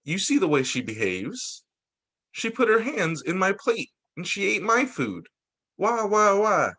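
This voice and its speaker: A man's voice: sits high, sing-song, a little slow, sharp tone, husky, voice pushed up, full character voice. Man acting out indignant, scandalized storybook relative. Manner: indignant, complaining. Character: disapproving, scandalized relatives of a little girl in storybook.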